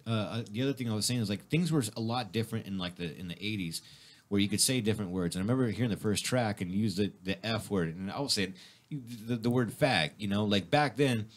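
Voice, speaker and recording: A man's voice: lowish voice, fast talker. A middle-aged man of Spanish first language hosting a radio show, rambling and swearing freely with lots of restarts. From Mexican heritage, grew up speaking Spanish. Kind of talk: casual profane radio chat. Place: radio studio.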